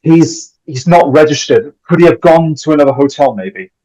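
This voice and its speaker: A man's voice: on the high side, animated, moderate pace, mellow, low-quality recording. A man playing an earnest, slightly awkward young deputy in an amateur script read, hesitant and reassuring. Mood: concerned.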